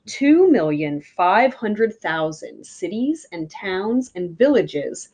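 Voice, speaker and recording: A woman reading a picture book aloud like a teacher, with deliberate, emphatic phrasing for young listeners. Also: medium pitch, big pitch swings, darkish tone, muffled recording. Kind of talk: children's read-aloud.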